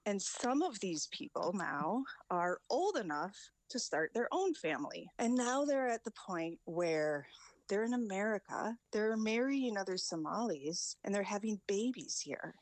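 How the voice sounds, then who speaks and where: A woman's voice: mid-range voice, dry recording. A woman county official explaining a social issue in an interview. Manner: slow, explanatory.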